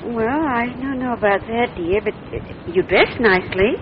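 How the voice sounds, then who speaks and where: An American actress playing a sweet, scatterbrained older wife and mother, gentle and guileless, in 1940s radio drama. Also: on the high side, normal speed, lightly acted. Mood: fondly guileless. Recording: muffled, noisy old radio recording.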